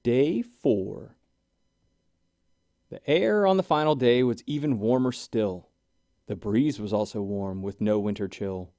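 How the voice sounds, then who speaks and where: A man reading a rhyming children's storybook aloud in an expressive, deliberate way. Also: on the low side, no echo, clean studio sound. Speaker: children's book reader. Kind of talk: animated scripted read-aloud of a rhyming storybook.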